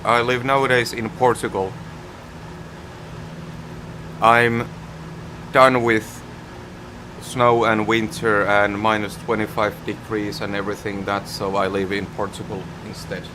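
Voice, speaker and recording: A man from Finland with a Finnish accent, live-streaming a flight simulator and thinking aloud in halting, unhurried short phrases. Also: lowish voice, level tone, noisy background.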